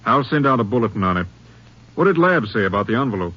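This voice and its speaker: An actor in a 1950s radio western playing a brisk, matter-of-fact Ranger captain with an American accent. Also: male voice, fairly low pitch, very animated, a bit bright. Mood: businesslike. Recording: dull vintage radio recording.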